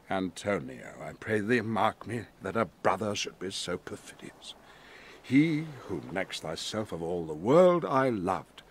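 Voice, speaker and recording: A man performs a commanding exiled duke and sorcerer, a theatrical father speaking Shakespearean verse. The voice is fairly low and swoops widely between hushed and emphatic, with clear noise behind it. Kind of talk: Shakespearean audio drama acting.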